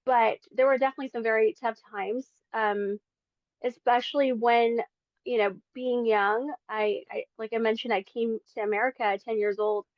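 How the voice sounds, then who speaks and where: A woman's voice: a little high, fairly even intonation, moderate pace, no room sound, lo-fi sound. A woman in her forties from the Philippines, sharing her faith testimony as a podcast guest in earnest, chatty talk. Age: 40s. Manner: earnest, chatty, many fillers. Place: home in Las Vegas.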